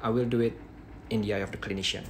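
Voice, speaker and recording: A man's voice: lowish voice, fairly even intonation, a little hiss or hum. Indonesian-accented young man with a sore throat. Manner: halting, frequent "yeah" tags and restarts. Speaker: dentist teaching a live demo. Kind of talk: live-stream teaching, reading viewer questions. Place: small bedroom at night. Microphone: phone held close at arm's length.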